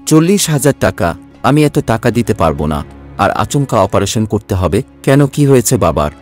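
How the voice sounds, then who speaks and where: A man's voice: fairly low pitch, big pitch swings, moderate pace, darkish tone, soft background music. A man playing a self-centred young working son from a village in a cartoon story, speaking emotionally. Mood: indignant, dismissive.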